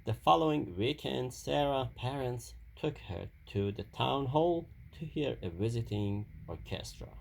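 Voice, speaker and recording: A man reading a children's story aloud in a halting delivery, stumbling and repeating phrases now and then. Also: medium pitch, creaky, slight background noise, poor audio.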